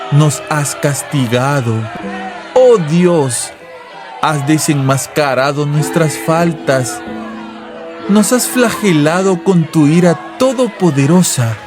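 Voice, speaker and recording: Fanatical male revival preacher, played by a male narrator, fervent and declamatory, voice pushed high over loud music, radio drama. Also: slow pace, a crowd in the background. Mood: anguished pleading. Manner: declamatory, shouting, incantatory repetition.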